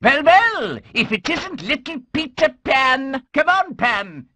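A performer voicing a burly, mocking pirate bully in a video game, raspy and drawling, with menacing taunts. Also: high voice, very animated, slow pace, pushed and bright, no echo, exaggerated voice. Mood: gloating, taunting. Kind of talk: animated video game villain acting.

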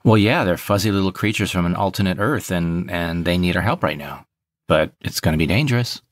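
A man's voice: low voice, a little fast, dry recording, studio quality, close to an everyday voice. A man playing a cocky, sly space adventurer with a swaggering, teasing manner in a comedy sci-fi audio drama. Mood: urgent, serious.

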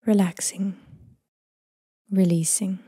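Soft, breathy, mellow woman guiding meditation. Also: medium pitch, no echo, studio quality. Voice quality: soft, breathy, often near whisper. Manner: gentle, unhurried, calming delivery with long phrases trailing off. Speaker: meditation guide. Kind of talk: guided body scan meditation, slow and soothing.

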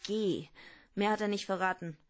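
Loyal detective's secretary, played by a woman, mid-pitched. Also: moderate pace, close to an everyday voice. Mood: businesslike. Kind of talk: radio drama acting.

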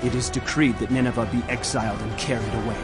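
Casting: male actor as urgent biblical prophet, mid-pitched, emphatic and declamatory, clear musical backing. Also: fairly even intonation, fast, loud music behind the voice, lightly acted. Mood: urgent, dramatic. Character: male prophet narrator, solemn, urgent herald of doom. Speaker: narrator. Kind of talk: dramatized scripture reading.

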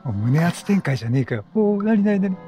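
A man giving chatty, slangy and excitable commentary as he plays a video game. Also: high-pitched, fast, faint music behind. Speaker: let's play commentator.

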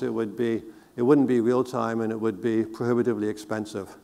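A man's voice: medium pitch, darkish tone. Middle-aged man presenting in a reverberant hall. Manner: measured, explanatory, deliberate phrasing. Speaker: keynote speaker. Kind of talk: conference presentation on a technical subject. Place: large indoor conference hall, on stage.

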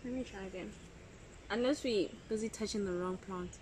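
A woman's voice: medium pitch, normal speed, a little mellow, poor audio. A young adult woman in casual, joking chat with a friend outdoors. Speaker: vlogger's friend. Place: outdoors in a garden and on trails.

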